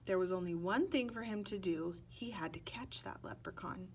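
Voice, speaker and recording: A woman reads a children's picture book aloud in an expressive storytelling style. She draws out key words and performs the sound effects. Her pitch is middling, and the recording carries faint noise. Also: normal speed. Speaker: storybook reader.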